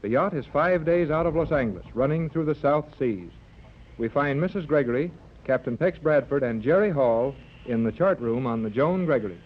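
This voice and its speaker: Male narrator, scripted 1930s serial announcing, medium pitch, tinny band-limited old recording. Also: lively intonation, moderate pace, a little hiss or hum.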